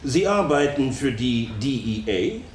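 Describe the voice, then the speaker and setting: A lively formal court examiner. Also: male voice, medium pitch, measured pace. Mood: probing. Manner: formal probing questions. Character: formal male courtroom questioner. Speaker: court questioner. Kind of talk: documentary reenactment of court questioning. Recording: echoey room with faint noise.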